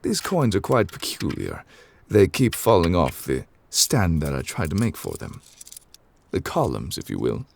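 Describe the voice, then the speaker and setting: A man's voice: huge pitch swings, measured pace, slight background noise, exaggerated voice. A man voicing a courteous, absent-minded ancient god in human form for an ASMR roleplay, speaking formally and wordily with polite apologies. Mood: polite, unhurried. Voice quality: very low, creaky. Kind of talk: ASMR character roleplay, improvised.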